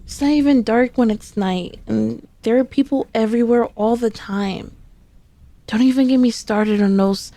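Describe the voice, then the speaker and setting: A woman's voice: fairly high pitch, normal speed, mellow, no echo, lightly acted. A woman playing a sassy, sneaky, possessive centuries-old female demon in an ASMR roleplay, speaking in a haughty, teasing, formally old-fashioned way. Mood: grumbling, indignant. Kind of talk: ASMR roleplay, scripted character acting.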